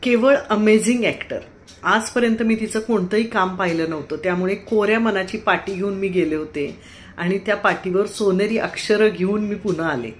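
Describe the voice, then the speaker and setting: A woman with an Indian accent giving a personal theatre review in an expressive, admiring way, phrase by phrase. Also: medium pitch, normal speed. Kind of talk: personal theatre review, spoken to camera or phone. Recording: clearly noisy background ambience.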